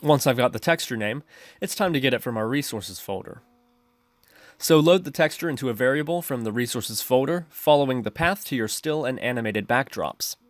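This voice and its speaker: A man's voice: medium pitch, lively intonation, a little fast, no echo. A man narrating a step-by-step screencast tutorial, explaining his reasoning as he goes. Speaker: programming tutorial host.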